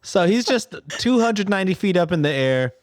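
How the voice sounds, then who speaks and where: A man's voice: high-pitched, expressive, normal speed, dry recording, studio quality. A man co-hosting a podcast in casual banter, riffing in slang and repeating phrases for comic effect. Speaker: podcast co-host.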